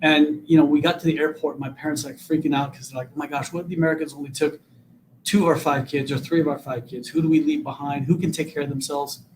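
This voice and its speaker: A man's voice: fairly high pitch, flat delivery, fast talker, darkish tone, a little hiss or hum, poor audio. A man in his fifties, originally from Vietnam, speaking earnestly as an interview guest and often repeating words as he starts sentences. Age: 50s. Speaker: guest, political candidate.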